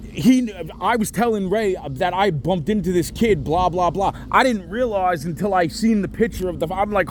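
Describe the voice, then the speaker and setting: A middle-aged man with a slightly high-pitched voice rambles in agitation over the phone. He swears heavily as he vents, with faint vehicle noise behind him. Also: darkish tone. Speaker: caller reporting to police. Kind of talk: venting on a phone call. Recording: noisy phone call, traffic sounds behind.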